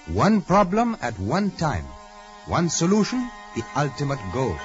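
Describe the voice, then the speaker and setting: A man's voice: a little high, huge pitch swings, a little slow, loud music behind the voice. A man playing a devoted, deferential assistant who speaks formally in a 1940s radio drama. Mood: solemn narrating. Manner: formal, respectful. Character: deferential, earnest male assistant and narrator, devoted follower. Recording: muffled old radio recording.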